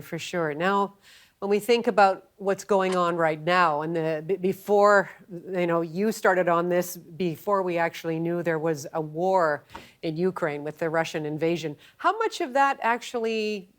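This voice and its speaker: A woman's voice: lowish voice, unhurried. Woman hosting a broadcast interview. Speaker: television host and interviewer.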